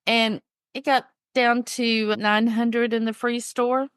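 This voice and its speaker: A woman's voice: a little high, flat delivery, slow, fairly bright tone, smooth, no room sound. A woman co-hosting a podcast in casual chat, stuffed up from a cold, chatty and telling stories. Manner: chatty, storytelling. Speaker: podcast co-host.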